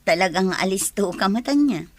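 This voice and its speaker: Young Filipino woman , acted, mid-pitched, guarded village maiden weighing a suitor in radio drama. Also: expressive, moderate pace, dry recording, natural voice. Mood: guarded, coy. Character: hesitant unmarried village woman being courted. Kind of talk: Ilocano radio comedy drama.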